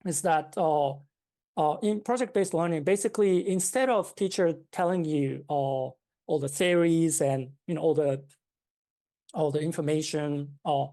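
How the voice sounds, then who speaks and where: A Japanese-accented woman in her thirties explains her ideas as an interview guest, pausing often on fillers and restarting her sentences. Her voice sits low and sounds soft and mellow. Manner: hesitant, many fillers and restarts. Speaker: startup founder, guest.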